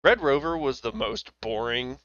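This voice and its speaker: A man's voice: mid-range voice, moderate pace. Laughing young man hosting a lo-fi podcast. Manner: laughs often, lively and joking. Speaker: podcast host. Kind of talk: casual podcast banter.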